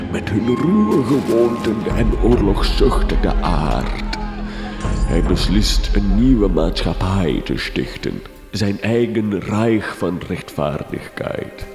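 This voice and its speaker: Portentous, very slow-speaking count. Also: male voice, low-pitched, heavily acted. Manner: theatrical, portentous, archaic diction. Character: doom-laden count recounting his ancient failed covenant. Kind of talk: gothic horror comedy radio drama. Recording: roomy reverberant sound, music behind.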